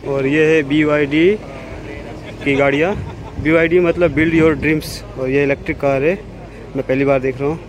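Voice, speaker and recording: A man's voice: on the high side, moderate pace. A man casually narrating a walkaround vlog at an outdoor car expo, filming on a handheld phone. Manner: relaxed, plain commentary while walking. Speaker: vlogger. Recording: noisy outdoor phone recording.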